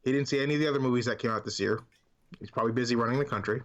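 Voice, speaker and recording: A man's voice: medium pitch, rapid-fire, highs cut off. An opinionated man chats casually as a podcast co-host. His voice sits in a medium register, and he puts firm emphasis on his points in a conversational, unscripted way.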